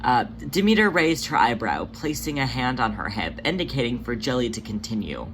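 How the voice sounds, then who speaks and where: Expressive story reader with a lively pitch. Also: fairly quick, slight background noise. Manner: expressive, occasionally stumbles over words. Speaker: narrator, fan fiction reader. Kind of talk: scripted story narration, reading aloud.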